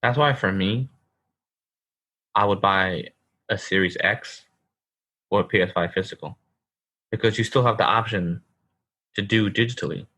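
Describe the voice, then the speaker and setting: A man's voice: fairly low pitch, moderate pace, pauses often, sharp tone. A man in his thirties co-hosting a casual podcast, emphatic and calling his co-host bro. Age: 30s. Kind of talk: casual chat.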